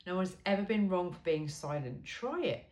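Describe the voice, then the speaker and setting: A woman's voice: on the low side, animated, moderate pace, a little mellow. Echoey, wry woman reading aloud. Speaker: amateur reader of a humorous self-help book. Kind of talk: informal book read-aloud with chatty asides. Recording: roomy, echoey sound.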